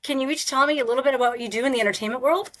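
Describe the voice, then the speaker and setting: A woman's voice: high-pitched, very fast, fairly bright tone. A woman hosting a podcast over a video call, chatting playfully and rambling a little. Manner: playful, self-deprecating, rambling. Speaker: podcast host. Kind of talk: casual interview chat. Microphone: remote video call.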